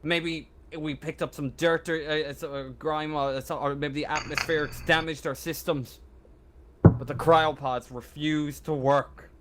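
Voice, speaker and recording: A man voicing a haunted, fanatical crewman in a tabletop game, halting and stammering as he repeats himself. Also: on the high side, level tone, noisy background, lightly acted. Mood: fervent, halting. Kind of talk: tabletop roleplay monologue.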